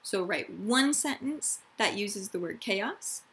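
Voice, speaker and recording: A woman reads a children's story aloud as a teacher would, with a slow pace and a dramatic, deliberate delivery. She speaks directly to young listeners and explains things as she goes. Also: medium pitch, lively intonation, creaky, fairly bright tone. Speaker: teacher reading a picture book aloud to pupils.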